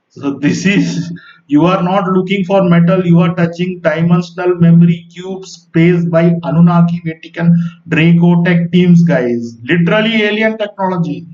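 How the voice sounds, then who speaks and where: A man's voice: high voice, darkish tone, reverberant, far from the mic, lo-fi sound. A man with an Indian accent, talking in an earnest, rambling way, with small laughs and a habit of saying "guys". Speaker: spiritual video host. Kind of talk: casual monologue to camera.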